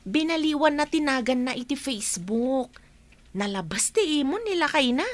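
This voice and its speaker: A woman's voice: sits high, sing-song, normal speed. A woman with a northern Philippine accent playing a nosy, teasing young woman in a radio drama, pert and questioning.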